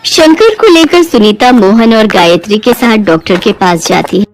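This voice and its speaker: A woman's voice: medium pitch, animated, moderate pace, faint music behind. A woman narrating a scripted Hindi moral story as a storyteller.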